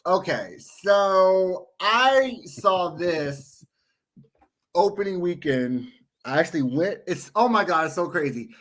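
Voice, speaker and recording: A man co-hosting a podcast with a head cold, sounding nasal and congested, telling stories in an animated, jokey way. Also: high-pitched, takes it slowly. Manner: animated storyteller, jokey and expressive. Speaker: podcast co-host. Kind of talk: casual podcast chat.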